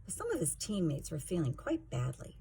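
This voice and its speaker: Lady reading a rhyming kids book, very up and down, slightly husky voice. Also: deep voice, huge pitch swings, brisk, dark tone. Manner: theatrical, emphatic. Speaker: children's story reader. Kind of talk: expressive rhyming storybook narration.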